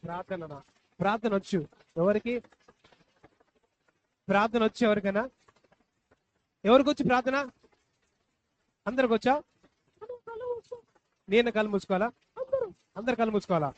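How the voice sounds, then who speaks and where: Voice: mid-range voice, moderate pace, long pauses. A puppet show host talking live to children, coaxing and repeating questions. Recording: dull lo-fi live recording, faint noise.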